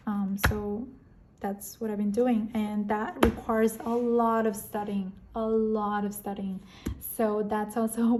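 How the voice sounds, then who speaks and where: Mellow-voiced woman chatting about planners. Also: medium pitch, slight background noise, low-quality recording. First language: Korean and Chinese. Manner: relaxed and chatty, many ums and restarts. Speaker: planner vlogger. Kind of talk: casual planner flip-through chat. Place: indoors at a wooden table. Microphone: overhead camera on a tabletop.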